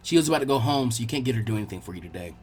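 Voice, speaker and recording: A man's voice: mid-range voice, expressive, racing, a little mellow, slightly rough, pitched below the performer's own voice. Man as a cheerful, easygoing ninja boy. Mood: curious. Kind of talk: fan fiction character reading.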